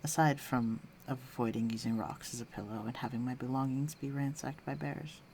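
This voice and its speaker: A flirtatious male villain, acted by a man murmurs in a mid-pitched, creaky voice. He teases and coaxes the listener with a tender undertone, as in an intimate roleplay audio. Faint night ambience with crickets sits behind him. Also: steady tone, moderate pace, a little mellow, slight background noise, lightly acted. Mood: teasing. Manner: teasing, coaxing, breathy murmurs.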